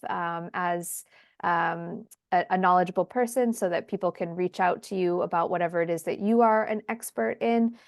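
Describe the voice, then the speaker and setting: A woman's voice: fairly low pitch, creaky, dry recording, clean studio sound. A woman hosting an online question-and-answer webinar, talking in a friendly way with many ums, heard over a video call. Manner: friendly, filler-heavy with frequent ums. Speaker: webinar host, head of community.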